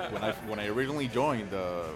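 A man from Rico, telling stories casually as an interview guest in a hesitant, jokey way. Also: mid-range voice. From Puerto Rico. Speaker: guest, brewer. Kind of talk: casual storytelling in a podcast interview.

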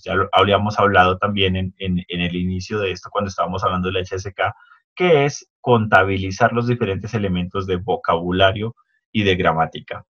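A man co-hosting a casual talk, explaining an article in a measured way with hedging fillers. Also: lowish voice, fairly bright tone. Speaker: co-host discussing an article. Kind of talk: casual podcast chat, explaining. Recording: dull lo-fi sound.

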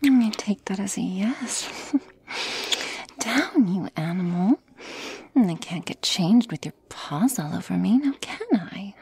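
Playful, teasing girlfriend played by a woman for ASMR roleplay: very slow, breathy whispering with creaky edges. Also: medium pitch, mellow, dry recording, full character voice. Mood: playful, bossy.

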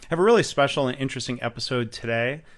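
A man hosting a podcast interview and reading his own promos, relaxed, with frequent ums and uhs. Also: mid-range voice, very fast. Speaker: podcast host.

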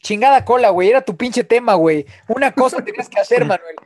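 Fast-talking Mexican podcast host. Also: male voice, very high voice, lively intonation, darkish tone, no echo. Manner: teasing, swears freely, quick banter. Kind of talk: casual chat.

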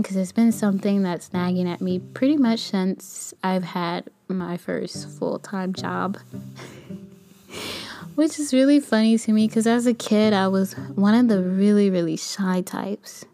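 A young woman with a light, soft voice talking casually in a rambling, candid personal podcast monologue. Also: medium pitch, measured pace, faint music behind. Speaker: podcast host; kindergarten teacher.

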